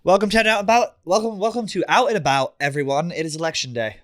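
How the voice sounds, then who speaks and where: A man's voice: sits high, fast, a bit bright, dry recording, clean studio sound. A man co-hosting a podcast, chatting casually in a brash, teasing way and swearing freely. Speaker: podcast co-host. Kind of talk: casual chat.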